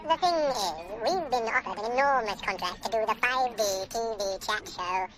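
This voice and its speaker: A woman's voice: fairly high pitch, normal speed, noisy background, close to an everyday voice. A woman playing a self-important, businesslike talking mouse with a bossy, dismissive manner in a comic radio drama. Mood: weary, businesslike.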